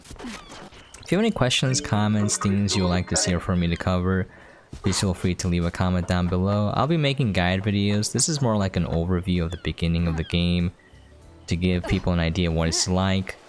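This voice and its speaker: A man's voice: low-pitched, a little fast. A man giving casual game commentary as a video host, in a laid-back, rambling way. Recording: clear background noise.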